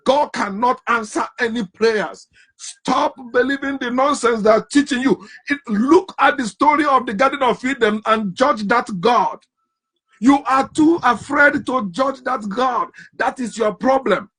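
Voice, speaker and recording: A man's voice: very high voice, a little slow, a bit bright, husky, rough recording. A man giving an impassioned, emphatic monologue on religion, hammering home rhetorical questions. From Africa. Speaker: commentator on religion.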